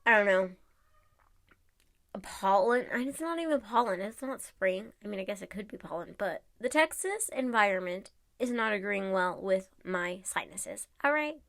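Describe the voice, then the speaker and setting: A woman's voice: medium pitch, lively intonation, moderate pace, creaky, a bit bright, no echo, studio quality. A woman with a congested, nasal voice from allergies, chatting casually through a nail tutorial with many fillers.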